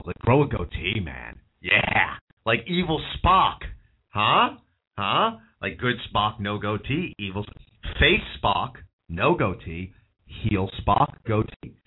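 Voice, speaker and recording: A hoarse man hosting a call-in wrestling talk show, rambling and opinionated, repeating himself as he goes. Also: mid-range voice. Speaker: host. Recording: tinny phone-like audio.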